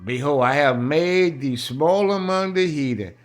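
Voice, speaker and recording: A man's voice: fairly high pitch, unhurried. An American man preaching in a colloquial, emphatic and repetitive way, reading scripture aloud and adding his own running commentary. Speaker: street-style Bible preacher.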